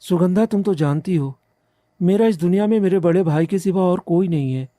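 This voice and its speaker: Man playing a muffled, high-voiced earnest young bridegroom. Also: moderate pace, warm tone, no echo, lightly acted. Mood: torn. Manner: earnest, pleading. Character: devoted young village bridegroom, earnest and respectful. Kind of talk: Hindi animated moral story dialogue.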